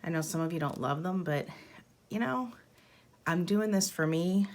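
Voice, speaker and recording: Low, gravelly-voiced woman. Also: lively intonation, moderate pace, a little mellow. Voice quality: froggy, gravelly voice. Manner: rambling, self-deprecating, chuckles often. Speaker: planner vlogger. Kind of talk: casual voiceover narration. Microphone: voiceover mic.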